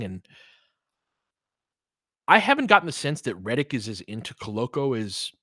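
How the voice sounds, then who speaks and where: A man's voice: medium pitch, a bit bright, dry recording, studio quality. A man giving casual live basketball commentary as a host, chatting informally with listeners and reacting like a fan.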